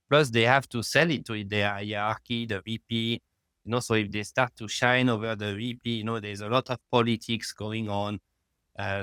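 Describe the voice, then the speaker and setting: A middle-aged man, speaking as a guest in a remote interview, halting and peppered with "you know.". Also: lowish voice, fairly bright tone, clear, resonant tone, no echo, clean studio sound. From France. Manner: halting, fills with "you know", rises in emphasis. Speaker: guest, lab founder.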